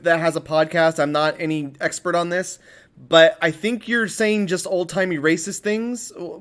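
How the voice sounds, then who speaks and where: High male voice, podcast host, mock interview, informal, halting phrasing, exasperated delivery. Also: a bit bright.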